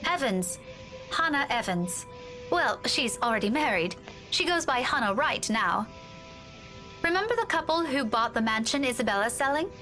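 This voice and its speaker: Earnest young woman, higher voice. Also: sing-song, moderate pace. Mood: earnest, persuading. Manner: conversational, gently coaxing. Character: earnest, dutiful young woman, gently persuasive friend. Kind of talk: visual novel game voice acting. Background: game music.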